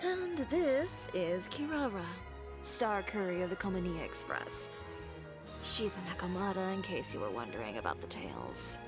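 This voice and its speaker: A woman's voice: fairly low pitch, very animated, normal speed, over music. A woman voicing a confident, blunt young female fashion designer with a composed, dry manner, in an anime-style video game. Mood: calm.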